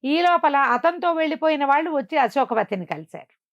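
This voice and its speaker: A woman's voice: sits high, sing-song, fairly quick, no room sound, lo-fi sound. A woman telling a tale in a chatty, explanatory, conversational way, checking in with her listener. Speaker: storyteller. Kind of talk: conversational oral storytelling.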